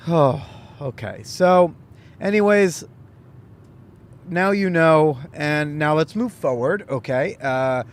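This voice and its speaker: A man hosting a podcast, talking casually in a rambling, halting way with many fillers. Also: a little high, animated. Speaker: podcast host; comedian. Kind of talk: casual solo monologue.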